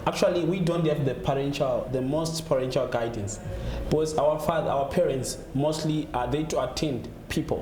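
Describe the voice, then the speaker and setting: A man's voice: on the high side, normal speed, few pauses, a little mellow. A man from Zimbabwe with a Zimbabwean accent, answering interview questions conversationally as a guest, often restarting his phrases. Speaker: gospel musician being interviewed. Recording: echoey room with clear background noise.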